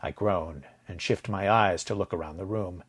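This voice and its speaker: A man with a husky voice narrating a story in a dramatic, deliberate way, letting phrase endings drop to a hush. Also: low-pitched. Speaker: audio fiction narrator.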